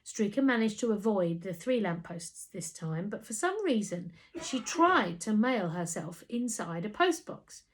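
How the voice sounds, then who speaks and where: Animated woman reading a children's story. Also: medium pitch, a little slow, hardly any pauses, slight background noise. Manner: animated, dramatic emphasis. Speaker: storybook reader. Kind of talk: expressive scripted children's story narration.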